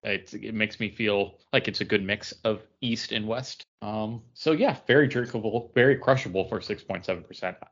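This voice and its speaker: A man co-hosting a podcast in relaxed, informal conversation. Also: fairly low pitch, moderate pace, hardly any pauses, muffled recording. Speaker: podcast co-host. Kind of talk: casual chat.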